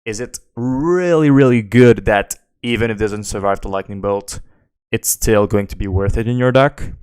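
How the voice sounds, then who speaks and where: A man's voice: on the low side, moderate pace, a little mellow, clean tone, dry recording, clean studio sound. A French Canadian man whose first language is French, streaming a card game and thinking aloud while answering chat questions. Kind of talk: live gameplay commentary.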